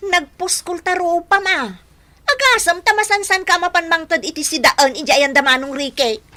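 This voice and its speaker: Shrill, jealous village rival. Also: female voice, moderate pace, pushed and bright. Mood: jealous, taunting. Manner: shrill, confrontational, taunting. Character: jealous, quarrelsome young village woman, a rival in love. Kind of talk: Ilocano radio drama acting.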